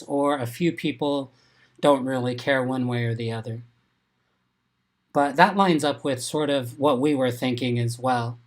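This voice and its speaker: A man recovering from an illness, sounding a little congested, hosting a live exam-prep webinar and reading questions and answers from the chat in an upbeat, encouraging way. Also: mid-range voice. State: congested, getting over an illness.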